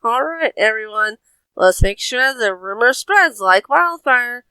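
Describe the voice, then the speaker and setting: Bossy young female pirate, actress, animated, unhurried, scheming and sassy, anime audio drama, dry sound. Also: fairly high pitch, clean tone, no echo.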